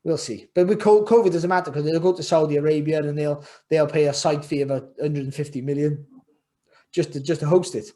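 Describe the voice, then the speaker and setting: A man's voice: on the high side, fairly even intonation, brisk, warm tone. A middle-aged man from Wales, a former boxer chatting as a podcast guest over a video call. He talks earnestly, repeating phrases and restarting, then turns emphatic. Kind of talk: casual interview chat. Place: home room, indoors.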